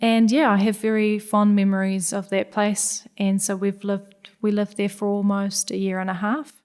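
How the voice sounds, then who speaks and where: A woman in her thirties, born in Hungary, talks with a relaxed New Zealand accent. She answers reflectively, with a flat, even pitch and medium-height voice, often pausing on "yeah" and "I guess.". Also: smooth. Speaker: guest scientist. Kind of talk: podcast interview.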